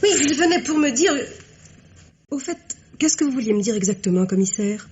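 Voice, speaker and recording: An actress playing a sociable, bubbly young confectioner in a French radio mystery, chatty and breezily untroubled. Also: female voice, high voice, animated, normal speed, a little mellow, lo-fi sound, slight background noise. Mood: chirpy.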